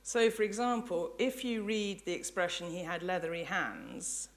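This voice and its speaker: A woman's voice: lowish voice, moderate pace. Reflective English woman giving a lecture. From England. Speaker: lecturer, professor. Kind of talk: public academic lecture. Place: lecture hall before an audience.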